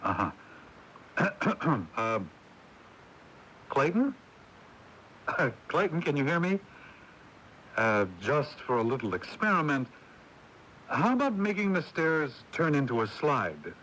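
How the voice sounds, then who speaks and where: An actor playing a take-charge but skeptical film director in a radio drama. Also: male voice, a little high, sing-song, slow, pauses often, a bit bright, exaggerated voice. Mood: tentative. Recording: noisy AM radio tape.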